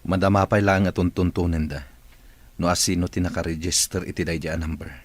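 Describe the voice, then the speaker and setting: A solemn, ailing Ilocano Filipino boss acted by a man in a radio drama. His voice is very low and rough-edged, the voice of an employer set on getting well. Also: flat delivery, a little fast. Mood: grave, suspicious. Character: ailing male boss, solemn, longing to recover.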